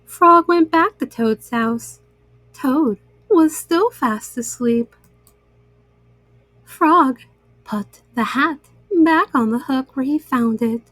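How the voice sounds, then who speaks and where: A woman storyteller narrating a children's book with simple, deliberate phrasing. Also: sits high, a bit bright, dry recording, poor audio.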